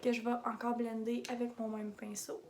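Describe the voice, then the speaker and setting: A young woman with a Québécois accent chats through a makeup tutorial in a slightly high voice, wandering into side comments and dropping English product words. A faint background noise sits under the recording. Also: little pitch movement, moderate pace. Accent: Québécois French. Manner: chatty, rambling asides with English makeup terms. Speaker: makeup vlogger.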